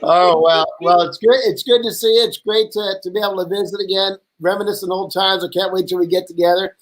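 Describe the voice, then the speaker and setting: A man's voice: sits high, steady tone, a little fast, poor audio. A man hosting a casual video chat, speaking in a familiar, lightly teasing way. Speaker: chat show host. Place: video call.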